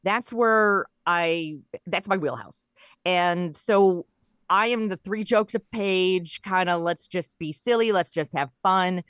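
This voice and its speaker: A woman's voice: lowish voice, takes it slowly, a bit bright, no echo, studio quality. A middle-aged woman, chatting casually and jokily as an interview guest. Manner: chatty, jokey, informal. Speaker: podcast guest, comedy writer and audio performer.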